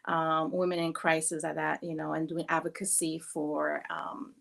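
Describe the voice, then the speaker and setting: A woman in her forties from New York City telling her life story in a relaxed way, with many ums, over a video call. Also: low-pitched, moderate pace, vocal fry. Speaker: summit speaker. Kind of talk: personal story.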